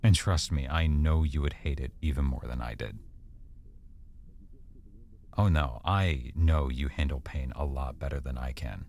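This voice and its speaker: A man playing an affectionate, teasing, easily embarrassed boyfriend in an intimate, conversational ASMR roleplay, speaking softly and a little hesitantly. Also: a bass voice, a little hiss or hum.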